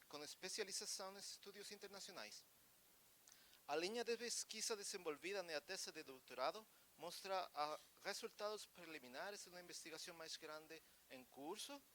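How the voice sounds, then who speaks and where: A man's voice: fairly high pitch, pushed and bright, a little hiss or hum. Formal man careful Portuguese. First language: Spanish. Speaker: academic speaker. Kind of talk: formal conference remarks in Portuguese.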